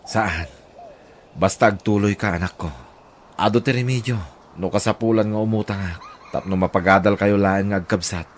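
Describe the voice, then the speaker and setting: A man's voice: on the low side, moderate pace, pauses often, slight background noise, natural voice. An actor with an Ilocano accent playing a humble, hardworking middle-aged father in a radio drama. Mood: firm, caring. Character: humble, hardworking poor father and laundry worker.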